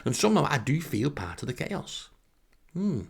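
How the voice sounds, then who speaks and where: Bloke telling his own daft story, sarcastic, bit of gravel in the voice. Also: fairly high pitch, very animated, normal speed. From northern England. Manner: sardonic, self-deprecating. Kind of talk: wry first-person anecdote, casual narration.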